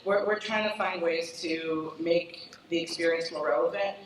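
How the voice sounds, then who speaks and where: Flat-voiced woman panelist, echoey. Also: fairly low pitch, normal speed, slight background noise. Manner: casual, hesitant, light laugh. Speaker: marketing panelist. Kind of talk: panel discussion. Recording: echoey hall, distant mic.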